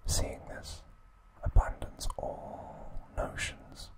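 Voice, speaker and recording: A man speaks entirely in a soft whisper as an ASMR host. He takes his time, delivering short, gentle phrases very slowly and deliberately, with a breathy texture throughout. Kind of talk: whispered ASMR narration and trivia.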